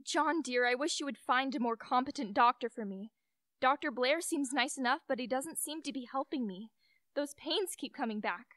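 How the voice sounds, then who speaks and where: A woman's voice: sits high, moderate pace, fairly bright tone, dry recording. A young woman playing an ailing, fearful wife who pleads plaintively in a radio play. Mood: plaintive, afraid. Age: young adult. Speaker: student actor.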